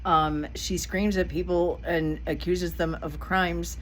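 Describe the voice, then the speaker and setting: A middle-aged woman talks straight to her viewers in a casual commentary vlog. She is blunt and indignant and swears without holding back. Her voice sits a little low, with a faint hiss of noise behind it. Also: steady tone, slow, low-quality recording. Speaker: vlogger.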